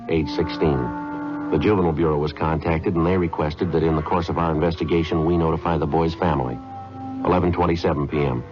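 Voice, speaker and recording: An actor playing a terse, businesslike police detective sergeant in a 1950s radio drama, with a clipped, deadpan, matter-of-fact delivery. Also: male voice, rumbling low. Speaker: lead actor narrating as the detective. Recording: dull vintage radio recording, music behind.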